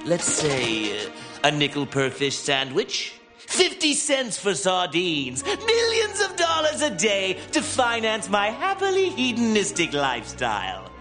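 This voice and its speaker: A preening cartoon villain clown, theatrical and pompous, voice swooping wildly up and down with music playing underneath. he sounds gleefully scheming and higher than most men. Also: huge pitch swings, unhurried, exaggerated voice. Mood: gloating. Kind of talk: animated TV cartoon acting. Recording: clip with music behind.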